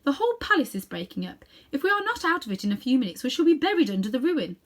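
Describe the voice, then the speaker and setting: A woman's voice: sits high, very animated, quick pace, pitched above the performer's own voice. A woman voicing a tall, imperious queen in a storybook, haughty and commanding.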